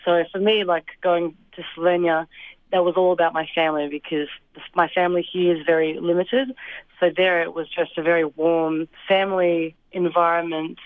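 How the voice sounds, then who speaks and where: A young woman from Australia answering interview questions in a thoughtful, hesitant way, with fillers and soft laughs. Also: lowish voice, level tone, moderate pace, no room sound. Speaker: interview guest. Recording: dry, phone-like line.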